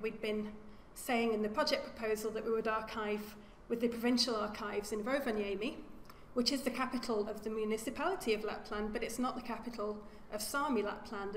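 A woman archaeologist giving an academic lecture at a lectern, speaking in a measured way and often repeating words as she corrects herself. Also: a little high. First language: English. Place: lecture room. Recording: echoey lecture hall with faint hum.

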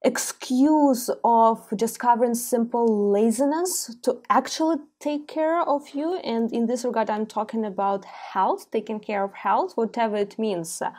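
A woman hosts a podcast in a Ukrainian accent, thinking aloud as she talks. She pauses and restarts often, searching for the right words. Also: a little high, dark tone. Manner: thoughtful, halting with many fillers. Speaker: podcast host. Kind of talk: casual interview chat.

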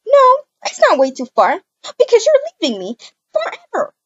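A woman voices a high, wounded young mother in a homemade game roleplay. She is indignant and emphatic, repeating her words at her cheating partner. The sound is dull and lo-fi. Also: huge pitch swings, moderate pace, full character voice. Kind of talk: amateur video game roleplay acting.

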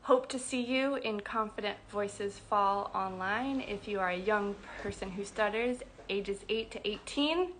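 Brief young woman, stutters, bright tone, unhurried, earnest livestream talk on phone at arm's length. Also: mid-range voice, slight background noise, low-quality recording. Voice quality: stutters with sound repetitions. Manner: earnest, affectionate, slow-paced. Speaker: program director. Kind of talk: casual livestream announcement. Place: indoors at home, small room. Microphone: phone camera at arm's length.

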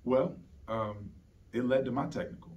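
A man's voice: medium pitch, normal speed, creaky, a little mellow, muffled recording. A middle-aged man, a basketball coach, answering postgame questions earnestly at a press conference, often repeating words as he restarts. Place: press conference room, seated at a table. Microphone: table microphone on a stand. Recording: heard from some distance.

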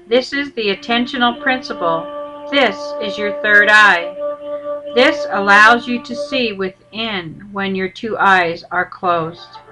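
A woman teacher narrating a guided meditation with measured, instructional delivery. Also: mid-range voice, poor audio. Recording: loud music under the voice. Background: loud instrumental music.

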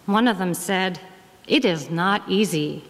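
A middle-aged woman, a guest minister, reading aloud to a congregation at a lectern, measured and deliberate, phrase by phrase. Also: fairly low pitch. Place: large wood-panelled church hall. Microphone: lectern gooseneck microphone. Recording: reverberant church hall.

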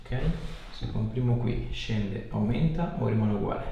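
Male chiropractor, thirties, low voice, reassuring short directions, recorded at a distance. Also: moderate pace, warm tone, muffled recording. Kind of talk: casual instructions to a patient during treatment. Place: small bare treatment room, indoors. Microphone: camera mic a few feet away. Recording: echoey room, distant mic, background noise.

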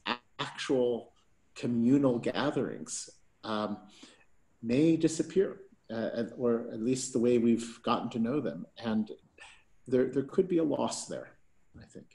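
Measured man speaking on a video call. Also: medium pitch, expressive, creaky, darkish tone. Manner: measured, pausing with fillers. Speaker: panel speaker. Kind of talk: online panel discussion.

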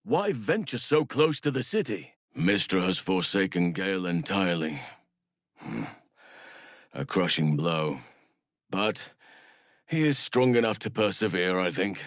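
A man playing a big, gentle, wise druid in a fantasy game, speaking in measured, formal, thoughtful phrases. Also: sits low, animated, no room sound, clean studio sound. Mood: sombre, reflective. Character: big gentle male druid, wise, earnest nature lover.

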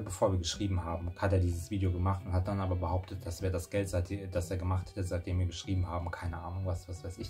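A man's voice: sits low, a little mellow. A young man vlogging from his bedroom, telling a story in a chatty, rambling way with many restarts. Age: young adult. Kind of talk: casual storytelling to camera. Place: small bedroom indoors.

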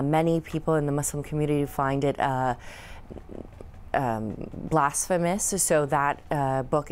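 Low-voiced woman reporting the news. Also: darkish tone. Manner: measured, with frequent fillers. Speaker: news correspondent. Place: newsroom studio.

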